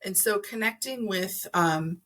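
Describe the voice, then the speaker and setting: A woman's voice: mid-range voice, unhurried, a bit bright. A middle-aged woman explaining things conversationally as an interview guest, earnest and emphatic, acting out imagined remarks. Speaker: podcast guest, energy healer.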